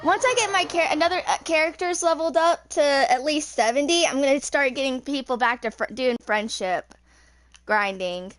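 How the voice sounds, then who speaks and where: High-voiced young woman rambling over gameplay. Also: normal speed, fairly bright tone. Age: 20s. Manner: rambling, thinking aloud. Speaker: gaming streamer. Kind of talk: casual gameplay commentary. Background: game music.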